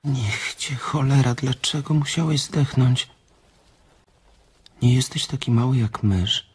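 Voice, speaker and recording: An actor playing a slow-witted, childlike and anxious farmhand in a radio drama, speaking haltingly and repeating himself. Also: male voice, medium pitch, slightly rough. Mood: distressed, fretting. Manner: halting, repetitive, simple.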